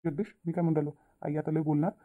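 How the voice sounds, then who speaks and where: A responsible, peacemaking elder brother, played by a woman, gives quick, careful prompts in a cartoon household drama. His Vidarbha Marathi speech comes over narrow, phone-like audio, probing yet gently directive. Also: sits low, flat delivery. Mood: cautious, placating.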